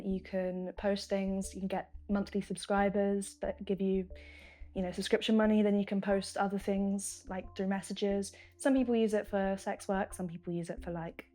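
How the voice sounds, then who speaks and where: A woman's voice: medium pitch, darkish tone. A woman, explaining things casually and offhandedly. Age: 20s. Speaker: interviewee.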